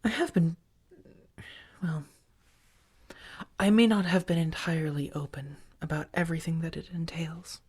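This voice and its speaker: Female vampire roommate, played by a woman, ASMR roleplay: low, husky, soft-spoken, affectionate and protective, with wry elegance. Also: fairly even intonation. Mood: serious, hesitant. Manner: slow, tender, gently theatrical, breathy murmurs.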